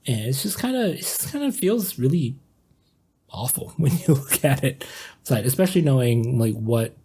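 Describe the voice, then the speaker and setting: A man chatting casually as a podcast panelist, in a halting, conversational way. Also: mid-range voice, sing-song, dark tone, breathy edge. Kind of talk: casual discussion.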